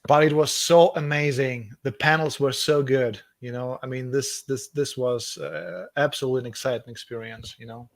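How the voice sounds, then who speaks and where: A man's voice: medium pitch, steady tone, normal speed, hardly any pauses, dry recording. A man from Russia with a Russian accent, speaking as an author guest in a casual video call interview, enthusiastic and often repeating words as he searches for phrasing.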